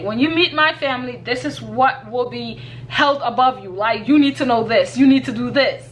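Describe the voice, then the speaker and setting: A woman's voice: sits high, a bit bright, slight background noise, rough recording. A young woman from Kenya giving earnest, casual advice on her vlog, repeating points and laughing lightly. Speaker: YouTuber.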